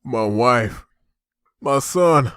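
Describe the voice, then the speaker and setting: A man's voice: mid-range voice, lively intonation, extremely slow, a bit bright, clean tone, no room sound. Guy acting tired worried dad survivor for a comic dub, medium voice, very dry sound. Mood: bewildered, worried. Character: weary male survivor, anxious husband and father. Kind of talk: dubbed comic drama acting.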